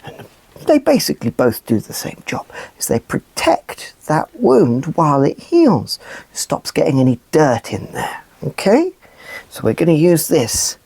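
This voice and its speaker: A woman playing a gentle, caring adult in a children's puppet show, soothing a child with simple explanations. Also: low voice, very animated, unhurried, gravelly, husky, dry recording, exaggerated voice. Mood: gentle, instructive.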